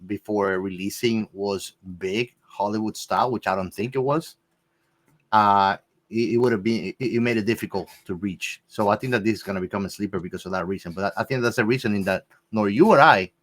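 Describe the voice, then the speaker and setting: A man chatting casually about film as a podcast panelist, speaking in an expressive, emphatic way. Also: fairly low pitch, a little fast.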